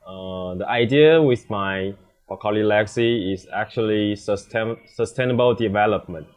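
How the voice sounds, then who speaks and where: Young taiwanese bartender guy, lowish voice, kinda halting english, casual interview. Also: lo-fi sound. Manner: halting, hesitant non-native English. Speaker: bartender, competition contestant.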